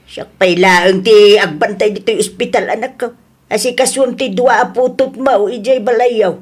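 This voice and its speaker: A woman acting a concerned, exasperated family elder and friend in an audio drama, with an Ilocano accent and an expressive delivery. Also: fairly high pitch, moderate pace, husky. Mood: worried.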